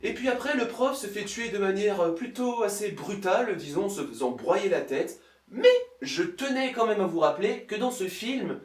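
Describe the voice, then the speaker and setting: A man with a high, very lively voice recaps a film as a reviewer. He is sarcastic and exasperated, speaking colloquially. The recording is roomy and noisy. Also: far from the mic. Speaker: film reviewer, video host. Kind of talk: comedic film review commentary, recapping a plot.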